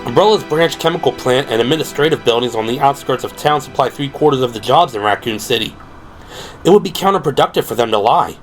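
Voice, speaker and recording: A man's voice: medium pitch, animated, quick pace, soft background music. A man reading scripted, dramatic prose as the narrator of an audio drama. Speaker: narrator and performer.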